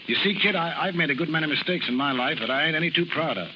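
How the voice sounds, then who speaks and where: An actor playing a gruff, bossy but protective carnival barker father, with a blunt, slangy American delivery in 1930s radio drama style. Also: a little high, racing, natural voice. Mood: earnest, coaxing. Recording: muffled, noisy 1930s radio recording.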